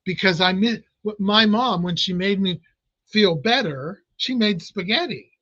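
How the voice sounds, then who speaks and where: A man with a fairly high voice explains hypnosis in a relaxed interview, often restarting his sentences. His voice sounds dry and a bit dull, like remote audio. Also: a little slow, dry recording. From Italian American family. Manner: explanatory, many restarts and fillers. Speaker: clinical hypnotist guest. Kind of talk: casual interview talk.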